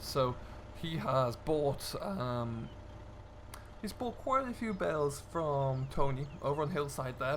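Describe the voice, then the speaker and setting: A British man hosting a farming game role-play in casual commentary, chatting and thinking aloud as he works. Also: mid-range voice. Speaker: gaming video host playing a farm contractor. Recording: clear background vehicle and ambient noise.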